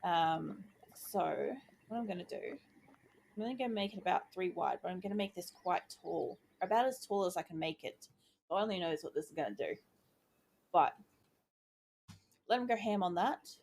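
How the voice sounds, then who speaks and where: Woman, gaming commentary, gravelly croaky voice, chatty, faint hiss behind. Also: lowish voice, normal speed, long pauses. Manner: chatty, thinking aloud, easily excited. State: voice going croaky. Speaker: gaming YouTuber. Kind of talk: casual let's-play commentary.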